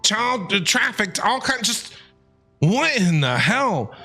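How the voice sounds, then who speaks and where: A man's voice: sits high, slow. A man giving casual, exclamatory live reactions as a game streamer. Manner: animated, exclamatory. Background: game music.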